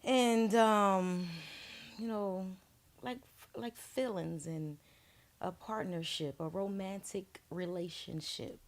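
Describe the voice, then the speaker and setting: A woman's voice: medium pitch, fairly even intonation, slow. A woman co-hosting a casual radio talk show, thinking aloud with hesitations and fillers. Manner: thoughtful, hesitant, many fillers. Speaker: radio co-host.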